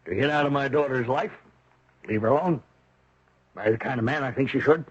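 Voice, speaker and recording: An American actor playing a wealthy, controlling middle-aged father in a 1970s radio drama, condescending and menacing, with a husky voice. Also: mid-range voice, fairly quick, lightly acted. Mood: cold, dismissive. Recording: dull, muffled vintage radio recording.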